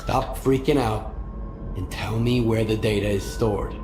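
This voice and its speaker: Gruff male mercenary, acted by a man, low voice, terse and stoic, game cutscene with loud music behind. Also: unhurried, natural voice. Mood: grim, terse. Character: gruff, unflappable male mercenary, war veteran. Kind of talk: video game cutscene acting.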